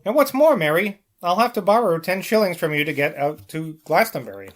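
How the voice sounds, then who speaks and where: A man's voice: high voice, sing-song, a little fast, fairly bright tone, voice pushed up. A man reading the part of a sly, penniless young rogue in a novel, blunt and insistent in a conspiratorial way.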